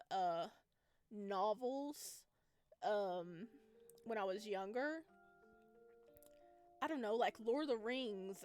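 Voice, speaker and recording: A woman hosting a gaming video, giving casual, gushing, enthusiastic let's-play commentary. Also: fairly high pitch.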